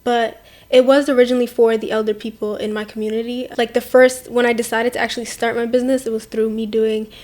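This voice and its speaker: A woman's voice: a little high, level tone, fast, hardly any pauses, darkish tone. A young woman from Portland, Maine, a makeup artist talking casually as an interview guest, with many fillers. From Portland, Maine, USA. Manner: chatty, many fillers, quotes others.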